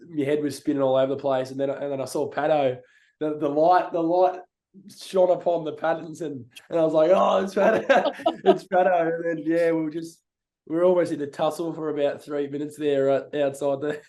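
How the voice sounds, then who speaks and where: Hoarse young Australian man, mellow voice. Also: on the high side. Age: young adult. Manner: excited, rambling, laughs often. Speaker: podcast co-host. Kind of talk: casual chat.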